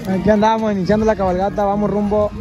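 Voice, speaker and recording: A man's voice: high voice, level tone, quick pace, highs cut off, music in the background. A man filming a rural horseback ride, chatting casually with slang and jokes. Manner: slangy, joking, upbeat. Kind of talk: casual vlog chat. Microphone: handheld phone.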